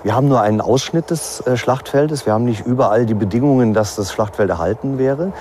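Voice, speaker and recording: A man's voice: medium pitch, warm tone, slight background noise. A man explaining as an expert interviewee, hesitating often as he reasons. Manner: hesitant, frequent pauses with "äh" while reasoning.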